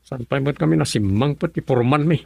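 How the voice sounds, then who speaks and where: An easygoing husband with no money, a laborer who brushes off worry. His voice has a gravelly fry and a middling pitch. It is recorded close and dry for a radio play. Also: fast, mellow, no room sound. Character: broke construction-worker husband, easygoing. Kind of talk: radio drama acting.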